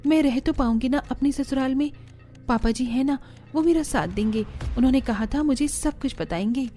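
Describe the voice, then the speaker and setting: A woman performs a simple young bride in a cartoon family drama. Her voice is high yet mellow, humble and anxious. Music sits behind it. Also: normal speed, natural voice. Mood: hopeful, anxious. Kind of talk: Hindi animated family drama dubbing.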